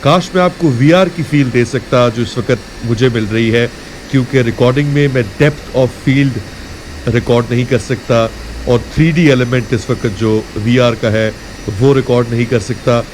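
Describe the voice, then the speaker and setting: A man's voice: mid-range voice, normal speed, rough recording. A man with a Pakistani accent narrating a flight simulator tutorial in a casual instructional way. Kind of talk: casual instructional narration mixing explanation and radio phraseology. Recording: steady clear background hum and noise.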